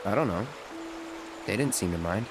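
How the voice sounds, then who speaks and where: A man's voice: on the low side, animated, moderate pace, music in the background, lightly acted. A man voicing an aloof, blunt young mercenary in a video game, speaking in a curt, guarded way. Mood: low-key, curious. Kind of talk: English-language video game voice acting.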